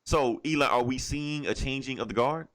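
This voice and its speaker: A man's voice: medium pitch, moderate pace, a bit bright. Emphatic man co-hosting a casual podcast. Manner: emphatic, rhetorical, repeats phrases for effect. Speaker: podcast co-host. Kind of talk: casual opinionated pop culture podcast talk.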